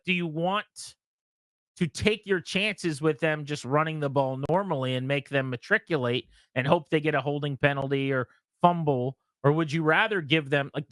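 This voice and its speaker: A man hosting a sports talk radio show who argues his points in an animated, argumentative way. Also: a little high, no room sound. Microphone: studio mic.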